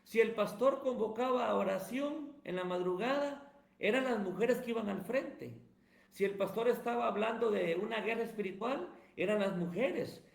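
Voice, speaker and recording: A man talks in a high, ringing voice. He answers like a pastor in the pulpit, building emphatic runs of phrases. The room around him is roomy and echoey. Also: lively intonation, moderate pace, creaky. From Guatemala. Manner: emphatic, sermon-like cadence. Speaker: pastor and evangelist, guest. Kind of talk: preaching-style interview answers. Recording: roomy echo.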